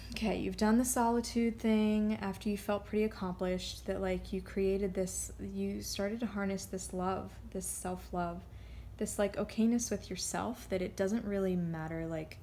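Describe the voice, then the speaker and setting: A woman giving a casual, unscripted card reading, rambling and halting as she drifts off on tangents. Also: mid-range voice, steady tone, dark tone, a little hiss or hum. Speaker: tarot card reader.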